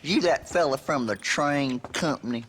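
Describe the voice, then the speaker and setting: An actor playing a dim, suspicious, belligerent American deputy in a western game, gruff and blustering. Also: male voice, medium pitch, normal speed, fairly bright tone, slight background noise, close to an everyday voice. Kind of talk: western video game acting.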